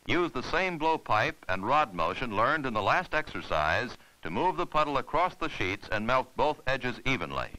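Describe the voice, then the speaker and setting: A man's voice: mid-range voice, sing-song, normal speed, few pauses, sharp tone, low-quality recording. A man narrating an instructional film in a formal, scripted, step-by-step way.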